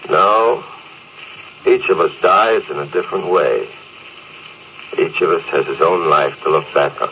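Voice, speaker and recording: A steady, resigned spaceship officer, talks in a low, measured voice. He has an earnest authority in the manner of 1950s radio drama, over a dull, slightly noisy vintage broadcast.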